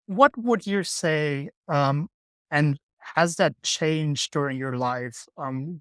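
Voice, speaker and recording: A man's voice: mid-range voice, a bit bright, no room sound. A man hosting a casual interview podcast, asking questions with many ums and restarts. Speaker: podcast host.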